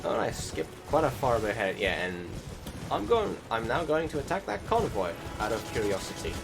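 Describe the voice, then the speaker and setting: A man's voice: medium pitch, big pitch swings, fairly quick, creaky. A man giving casual gameplay commentary as a voice-over, rambling and correcting himself as he goes, with the odd swear word. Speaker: gaming channel host. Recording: voice over loud game music. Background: loud game soundtrack.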